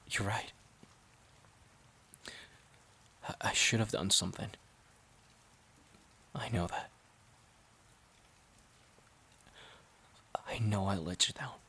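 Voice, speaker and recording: Guy acting out a hurt boyfriend in an audio drama, low husky voice, halting and pleading. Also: sharp tone, exaggerated voice. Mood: subdued, guilty. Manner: halting, pleading, emotionally strained. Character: worn-out boyfriend, resentful yet pleading, emotionally raw. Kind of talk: dramatic audio roleplay monologue.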